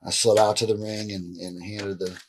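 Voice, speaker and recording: A middle-aged man as an interview guest, telling stories in a rambling, animated way with frequent restarts, seated indoors. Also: fairly low pitch, moderate pace, a little hiss or hum. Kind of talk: casual storytelling in a shoot interview. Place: indoor room, seated on a leather couch.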